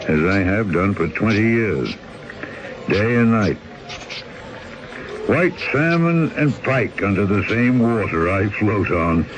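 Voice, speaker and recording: A weary old boatman. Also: on the low side. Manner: calm, world-weary, lecturing. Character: weary older male boatman, fatalistic. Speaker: boatman character. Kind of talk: 1970s radio drama acting. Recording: noisy old radio broadcast.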